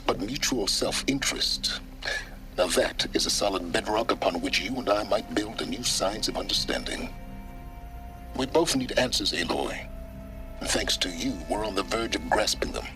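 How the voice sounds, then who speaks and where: An actor playing a coolly calculating, pragmatic male mentor in a video game, speaking in measured, articulate, imperious phrases. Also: lowish voice, low-quality recording. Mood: calm, calculating. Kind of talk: video game cutscene acting.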